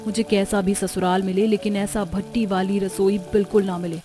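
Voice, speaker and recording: A woman's voice: mid-range voice, little pitch movement, normal speed, mellow, faint music behind, natural voice. A woman playing a money-hungry young village sister in a cartoon story, emphatic and complaining. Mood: grumbling. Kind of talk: Hindi cartoon story dubbing.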